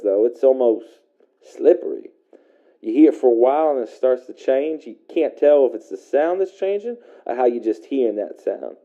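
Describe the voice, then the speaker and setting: A man playing a weary working-class caller on a radio call-in drama, telling an eerie first-person story in a folksy, halting way through a narrow radio filter. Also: medium pitch. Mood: slow, absorbed. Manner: folksy, colloquial, halting, hesitant delivery. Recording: narrow tinny phone-like radio sound.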